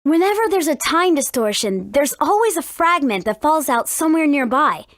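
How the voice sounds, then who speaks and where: A woman's voice: high voice, lively intonation, moderate pace, no room sound, studio quality. A woman voicing a spirited teenage girl guide in a video game, confident and explanatory. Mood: confident, instructive. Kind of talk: English game dub, tutorial dialogue.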